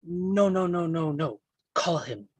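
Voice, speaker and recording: A guarded young American exile, read by a man at a script table read. The voice is husky and a little high for a man, and it catches repeatedly on first sounds in a heavy stammer, yet stays insistent. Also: animated, slow, dry recording, heavily acted.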